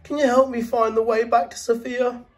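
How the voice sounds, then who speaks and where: Man putting on a squeaky, eager little toothbrush. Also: normal speed, recorded from a distance. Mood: pleading. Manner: excitable, pleading. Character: small eager yellow toothbrush, earnest lost boyish adventurer. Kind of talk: children's storybook read-aloud.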